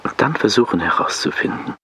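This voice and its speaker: An actor playing a brooding speaker who recites fragments in a halting way, word by word, sometimes dropping toward a whisper. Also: male voice, on the low side, big pitch swings, breathy edge, no room sound, heavily acted. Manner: halting, isolated words, partly whispered. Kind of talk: experimental spoken-word performance. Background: loud music.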